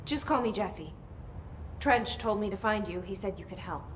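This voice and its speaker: A guarded young heroine, voiced by a woman speaks in short, determined lines. Her voice sits at a middle pitch. A faint hum sits behind her. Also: animated, moderate pace, lightly acted. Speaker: game protagonist. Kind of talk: video game dialogue and inner monologue.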